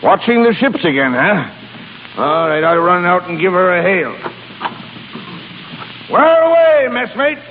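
Blustery old sea-dog boss, high-pitched. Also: male voice, sing-song, takes it slowly, pauses often, full character voice. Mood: hearty, affectionate. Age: older. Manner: gruff, irascible, salty nautical talk. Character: blustery, stubborn old shipping boss and former seaman. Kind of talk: 1930s radio acting. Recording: tinny, noisy 1930s radio recording.

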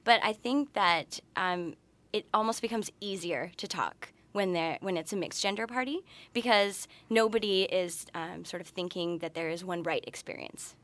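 A woman's voice: on the low side, normal speed, a bit bright. A woman answering interview questions as a podcast guest, explaining things thoughtfully in a conversational way. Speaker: podcast guest, researcher and party presenter.

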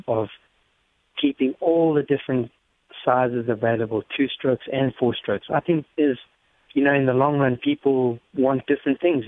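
A man's voice: medium pitch, no room sound. A South African man telling stories casually as an interview guest, often repeating words as he goes, over a narrow-band line. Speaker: motorcycle race team member and interview guest. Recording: muffled narrow-band phone or radio sound.

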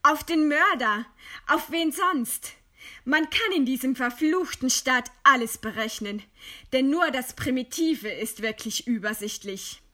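An actress playing a proud, composed dissident writer in a German radio play, speaking with measured, scornful eloquence. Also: female voice, high voice, expressive, pushed and bright, full character voice. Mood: defiant, scornful. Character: condemned dissident woman writer, widowed, proud, defiant, composed.

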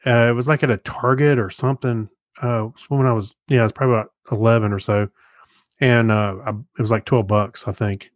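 A man's voice: medium pitch, steady tone, fairly quick, no room sound, clean studio sound. A man in his fifties co-hosting a podcast, chatting and reminiscing in an informal way. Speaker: podcast co-host. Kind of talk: casual chat, reminiscing.

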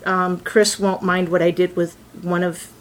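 A woman's voice: on the low side, flat delivery, a little slow, a little hiss or hum. A woman voice actor in a casual round-table chat, animatedly acting out her character's thoughts as she talks.

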